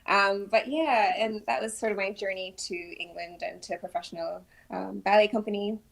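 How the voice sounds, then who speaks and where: Soft-spoken woman from Trinidad. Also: medium pitch, steady tone, normal speed, creaky, poor audio. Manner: soft-spoken, many ums, thoughtful. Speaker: guest, principal ballet dancer. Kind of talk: casual interview answers.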